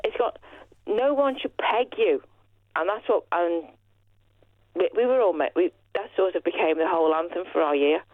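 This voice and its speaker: A woman's voice: fairly low pitch, moderate pace, no echo. A woman in her fifties with a Liverpool accent, reminiscing chattily as a caller to a radio show. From Liverpool, England. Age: 50s. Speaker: phone-in caller. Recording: tinny, narrow phone line.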